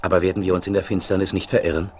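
An actor playing a lanky, earnest travelling healer of the Wild West, in an expressive, theatrical radio play manner. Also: male voice, low voice, rapid-fire, a little hiss or hum, close to an everyday voice. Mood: curious, willing. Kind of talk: 1970s German radio play acting.

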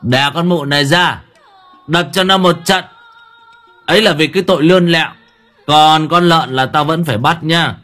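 A man's voice: fairly high pitch, normal speed, long pauses, a bit bright, faint music behind, pitched above the performer's own voice, close to an everyday voice. Man playing a cruel sneering young landlord. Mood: commanding. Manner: growling, sneering, harsh commands. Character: cruel arrogant young landlord's son, cold and mocking.

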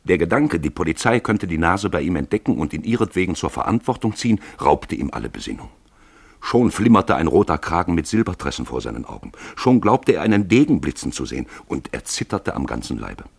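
A man giving a deliberate, theatrical literary narration for a radio play. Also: deep voice, big pitch swings, gravelly, breathy edge, no room sound.

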